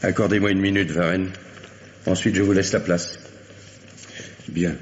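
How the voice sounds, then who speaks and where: Courteous police chief, very deep gravelly voice, measured radio acting, dull lo-fi recording. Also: male voice, steady tone, a little hiss or hum. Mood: calm, probing. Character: calm, courteous male police commissioner of drugs squad. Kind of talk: vintage French radio crime drama acting.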